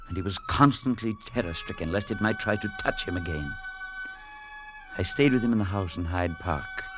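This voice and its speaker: An actor playing a grave, solicitous clergyman who tells a horror tale with measured, dramatic delivery in an old radio drama. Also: lowish voice, close to an everyday voice. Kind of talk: 1940s-50s radio drama acting and narration. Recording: noisy vintage radio recording.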